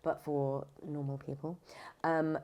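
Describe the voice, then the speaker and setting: A woman with a low voice and plenty of vocal fry talks in a casual interview style. She speaks emphatically and often circles back to rephrase her point. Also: little pitch movement, slow pace, mellow. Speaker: voice actor, audiobook narrator, podcast guest.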